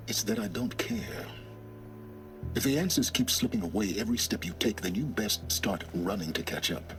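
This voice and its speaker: An actor playing an aloof, arrogant scholar in a video game, a middle-aged man with a measured, impatient and condescending manner. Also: low-pitched, fairly bright tone, exaggerated voice. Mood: cold, dismissive. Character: aloof, arrogant older male scholar of ancient ruins. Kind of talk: dramatic video game dialogue.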